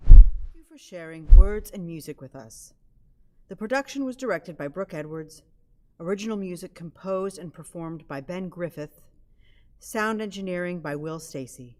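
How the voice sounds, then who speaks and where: Female announcer, slightly low voice, scripted outro and credits for audio theatre. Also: expressive, normal speed, a little mellow. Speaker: announcer for a theatre's radio play series.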